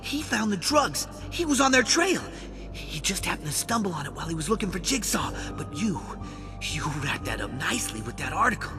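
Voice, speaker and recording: A cowardly game bookkeeper with a high, animated voice. He is evasive and nervous, with music clearly behind him. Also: brisk. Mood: pleading, defensive. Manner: nervous, hesitant, pleading. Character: cowardly, evasive male crooked accountant under interrogation. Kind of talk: scripted game dialogue.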